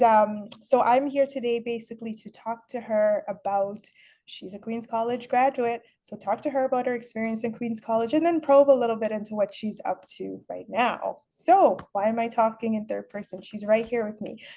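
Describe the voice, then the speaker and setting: A woman's voice: fairly high pitch, normal speed, a little mellow. A woman from Guyana hosting a chatty, upbeat interview and laughing often. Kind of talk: casual recorded interview.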